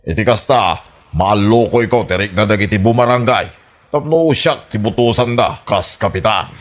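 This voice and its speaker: An actor with an Ilocano accent playing a calculating village uncle and would-be politician in a radio comedy drama, speaking matter-of-factly. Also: male voice, on the low side, moderate pace, close to an everyday voice. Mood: scheming.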